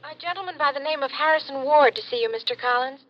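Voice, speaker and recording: Quick, high-voiced secretary, old radio. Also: female voice. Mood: polite, brisk. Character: brisk, polite female office secretary on intercom. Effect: office intercom. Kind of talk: 1950s radio drama acting. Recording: muffled vintage radio, faint hiss.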